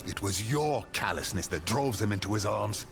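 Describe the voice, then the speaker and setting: A man's voice: on the low side, lively intonation, moderate pace, vocal fry. An actor playing a stern, outspoken man who defends common people, grave and accusatory in video game drama. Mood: accusing. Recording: music behind the voice.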